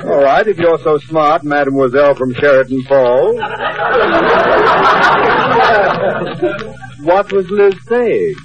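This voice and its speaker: An actor playing a teasing, dry-witted American husband with a blustery manner in a 1940s radio sitcom. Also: male voice, a little high, moderate pace, over loud music, over a noisy crowd, close to an everyday voice. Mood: mocking. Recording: dull, noisy old radio, loud audience.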